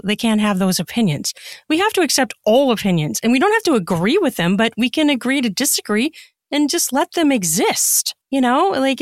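A woman's voice: on the high side, no room sound, clean studio sound. A woman giving casual, conversational answers as an interview guest. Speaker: podcast guest, author.

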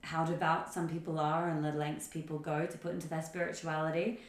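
A woman hosting a casual panel discussion, chatty and informal as she tells stories in an animated way. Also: low voice, brisk. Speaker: podcast host. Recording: echoey room.

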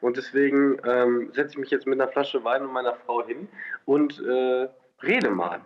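A man's voice: medium pitch, moderate pace. A man co-hosting a podcast remotely by phone, joking and teasing in casual banter. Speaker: podcast co-host. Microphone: phone over a messaging app. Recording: dull lo-fi phone audio.

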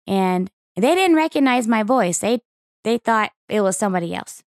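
A woman from Oklahoma whose voice is raspy and worn down by a cough, trading jokes in relaxed podcast chat. Her voice sits at an ordinary pitch, recorded close in a dry room with no echo. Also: moderate pace. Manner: joking, playful. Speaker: podcast co-host. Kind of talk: casual chat.

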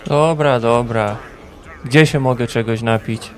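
An actor playing a calm, wry male traveller in a fantasy audio drama. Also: mid-range voice, a little slow, faint music behind, natural voice.

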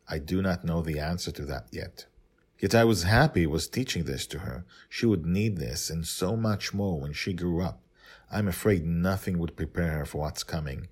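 A man reading and commenting on his own story podcast, with deliberate, emphatic phrasing. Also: sits low, husky. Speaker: writer and narrator of a story podcast.

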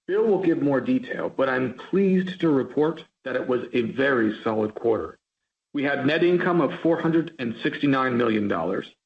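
A man speaking as a company chief executive, giving a formal, measured, prepared earnings call presentation over conference call audio. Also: mid-range voice, animated, gravelly, muffled recording.

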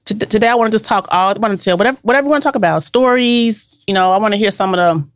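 A woman's voice: medium pitch, very fast, no echo, studio quality. A congested, nasal-sounding woman co-hosting a casual podcast, chatty with lots of fillers. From Cincinnati area. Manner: warm, chatty, hesitant with fillers. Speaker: podcast co-host.

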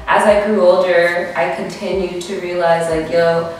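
A woman's voice: fairly low pitch, level tone, moderate pace, recorded from a distance, slight background noise. A woman in her twenties from the DC area, a guest speaking candidly in casual conversation with lots of likes and restarts. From DMV area, US. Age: 20s. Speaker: podcast guest, model and DJ. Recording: roomy echo, from off-mic.

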